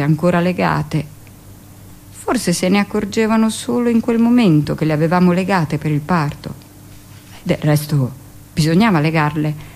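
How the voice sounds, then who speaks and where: A woman's voice: lowish voice, a little mellow, lightly acted. Confiding hardened midwife, acted by a woman. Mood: matter-of-fact, defensive. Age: middle-aged. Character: hardened middle-aged hospital midwife, matter-of-fact about cruelty, confiding. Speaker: actress performing a radio monologue. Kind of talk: dramatic first-person theatrical monologue.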